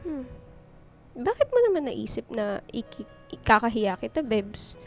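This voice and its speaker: An actress playing an affectionate, reassuring young girlfriend in a radio drama, with a sweet, expressive delivery. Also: fairly high pitch, a little slow. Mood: reassuring, tender. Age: young adult. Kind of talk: Filipino radio drama dialogue. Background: background music.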